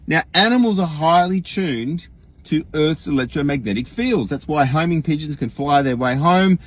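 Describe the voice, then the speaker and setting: A man puts on an earnest Australian guru addressing his online followers. The voice is husky and swings widely in pitch. The delivery is folksy and emphatic, rambling along as a livestream rant full of rhetorical questions. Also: expressive, moderate pace. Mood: earnest, alarmed. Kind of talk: mock livestream monologue.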